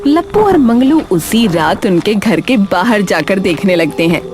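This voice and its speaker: A woman's voice: mid-range voice, sing-song, measured pace, noisy background. A woman narrator reading a scripted cartoon story.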